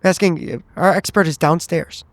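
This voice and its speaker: A man roleplaying as a player in a tabletop game, speaking in a measured, polite, deliberate way. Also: fairly high pitch, dry recording.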